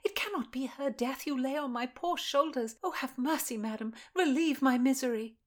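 A woman's voice: high voice, normal speed, pitched above the performer's own voice, natural voice. A woman voicing a frightened young maid of honour who pleads in a breathless, half-whispered voice. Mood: desperate.

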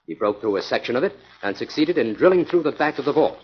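An actor with an American accent playing a confident, analytical crime-solver in a 1940s radio drama, speaking in an assured, explanatory way. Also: male voice, mid-range voice, lively intonation, fast, breathy edge. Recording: dull 1940s radio recording.

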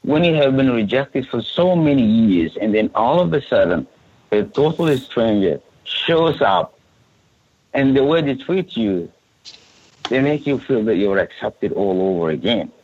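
A man from Sudan talks earnestly in a podcast interview, and his voice has a husky, breathy grain. He often stumbles and repeats a word before he goes on. The recording sounds dull and lo-fi. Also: medium pitch, expressive, unhurried. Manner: earnest, repeats words when restarting. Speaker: refugee support services coordinator.

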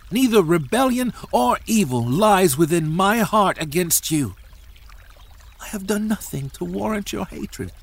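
An impassioned young warrior from a dramatized Bible story. His voice sits fairly high and moves slowly, with big swings of pitch. He speaks in earnest, formal phrases, loyal and pleading. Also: gravelly, slightly rough, heavily acted.

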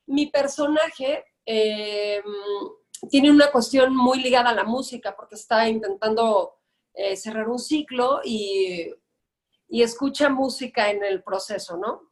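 Thoughtful Mexican actress, higher voice. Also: female voice. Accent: Mexican Spanish. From Guadalajara, Mexico. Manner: thoughtful, hesitant starts, measured. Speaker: actress guest. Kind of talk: casual podcast interview.

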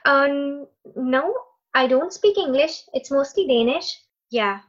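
A woman whose first language is Urdu speaks in a fairly high voice, encouraging and reflective, now and then pausing on fillers. The sound is a little dull and lo-fi. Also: expressive. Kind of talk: casual conversation.

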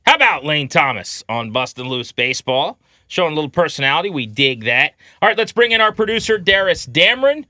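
A man's voice: on the high side, sing-song, brisk, pushed and bright, dry recording. A man hosting a sports podcast, chatting in an emphatic, playfully opinionated way. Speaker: podcast host.

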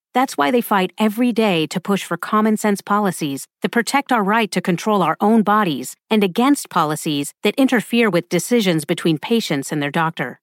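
A woman reading a scripted advocacy ad. Her voice-over is earnest and steady, recorded dry and close in a studio. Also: medium pitch, no echo. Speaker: advertisement narrator.

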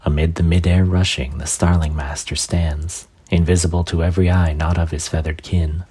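Very deep, husky man reciting poetry. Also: little pitch movement, normal speed, dark tone. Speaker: poetry reader.

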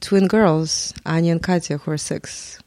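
A woman in her forties with a Russian accent, a guest on a podcast, speaking thoughtfully with frequent pauses and ums. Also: low voice, fairly even intonation, a little mellow, no room sound. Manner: thoughtful, hesitant with many ums. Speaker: guest artist and professor. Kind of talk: podcast interview.

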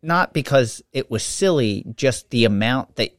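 A man's voice: medium pitch, lively intonation, a little slow, dry recording, clean studio sound. A man co-hosting a podcast in casual chat, rambling and self-interrupting, laughing easily and sounding a little congested. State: slightly congested after nose surgery. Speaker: podcast co-host.

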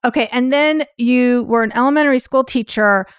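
A woman hosting a podcast interview in a chatty style, jumping between quick asides and her next question. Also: a little high, clean tone, no echo, studio quality. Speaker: podcast host. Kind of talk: casual interview chat. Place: New York.

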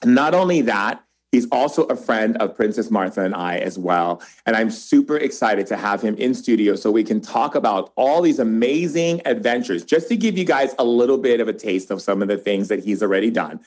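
A man's voice: lowish voice, lively intonation, moderate pace, hardly any pauses, a little mellow. A man hosting a podcast, talking in an effusive, emphatic way as he introduces and interviews a guest. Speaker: podcast host.

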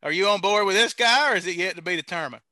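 A man hosts a casual sports podcast with a very bright, high voice. He teases his guests while leading the questions. The sound is dry and close. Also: fast talker, no echo. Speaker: podcast host.

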